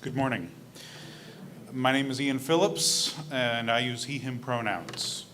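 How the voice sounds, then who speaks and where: A young man with a bright, forceful voice gives a personal reflection at a church service. He talks in an earnest, conversational way with the odd filler, into a pulpit microphone in a church sanctuary with faint room noise behind him. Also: mid-range voice, measured pace. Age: young adult. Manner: earnest, conversational, with fillers and a self-deprecating laugh. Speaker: lay speaker at a church service.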